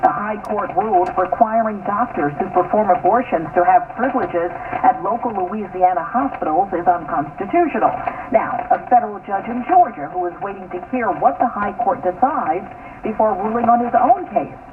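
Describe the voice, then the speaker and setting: A woman's voice: medium pitch, expressive, moderate pace, far from the mic. Tinny AM-radio woman reading news. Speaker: news anchor. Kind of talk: scripted radio news narration. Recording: tinny, noisy AM radio aircheck.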